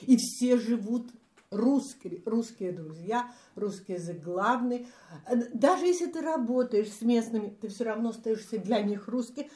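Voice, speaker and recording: A woman's voice: a little high, moderate pace, dark tone. A woman in a casual group talk, speaking hesitantly and cutting in. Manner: hesitant, interjecting. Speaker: discussion guest. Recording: echoey room.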